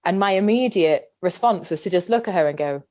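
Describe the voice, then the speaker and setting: Low-voiced earnest woman guest. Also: expressive, darkish tone. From Yorkshire, England. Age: 30s. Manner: earnest, measured, deliberate. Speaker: guest, PE teacher and former athlete. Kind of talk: reflective podcast interview.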